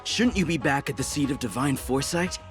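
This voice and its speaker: Man voicing a mid-pitched, steady guard captain. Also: fairly quick, faint music behind, lightly acted. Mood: surprised, concerned. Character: steady male guard captain, matter-of-fact and respectful. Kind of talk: video game character voice acting.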